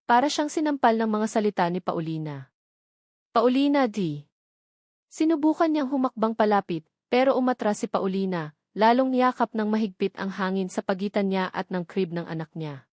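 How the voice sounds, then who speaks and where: A woman's voice: mid-range voice, fairly quick, darkish tone, no room sound, clean studio sound. A woman with a Filipino accent reading a scripted romantic drama as an audiobook narrator.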